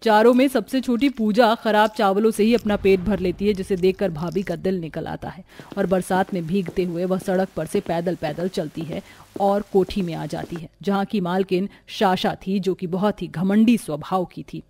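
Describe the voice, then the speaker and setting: A woman's voice: medium pitch, fairly even intonation, moderate pace, a little mellow. A woman narrating a scripted cartoon story.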